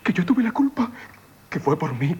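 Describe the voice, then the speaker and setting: Agitated lovesick gentleman, swooping voice. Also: high voice, sing-song, muffled recording. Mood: pleading, infatuated. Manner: agitated, halting, formal address. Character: lovesick gentleman, former woman-hater, pleading and jealous. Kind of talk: 1970s radio theatre acting. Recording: noisy 1970s radio broadcast.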